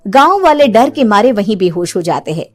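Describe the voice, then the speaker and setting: A woman storyteller giving an expressive, scripted narration of a dramatic tale. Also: medium pitch, normal speed.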